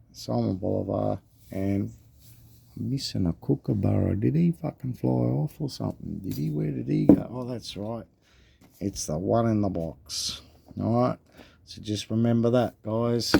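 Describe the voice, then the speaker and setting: A man with an Australian accent and a deep voice talks slowly, his tone dark and gravelly. He chats laid-back and casual to the viewers, swearing freely and calling them mate. He is filmed indoors at a table, with the camera looking down at his hands. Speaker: coin collecting vlogger. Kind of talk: casual show-and-tell chat.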